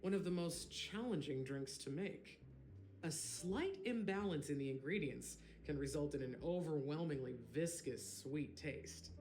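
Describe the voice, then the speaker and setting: A woman playing a composed, contemplative bartender in a video game, speaking in a measured, reflective way. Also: low-pitched, darkish tone. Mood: explanatory. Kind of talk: scripted video game dialogue.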